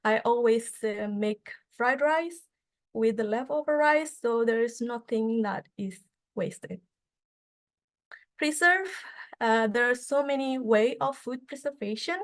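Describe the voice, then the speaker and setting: Woman presenting with hesitant fillers. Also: on the high side. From Indonesia. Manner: halting, many fillers and restarts. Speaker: student association speaker. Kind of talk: conference presentation.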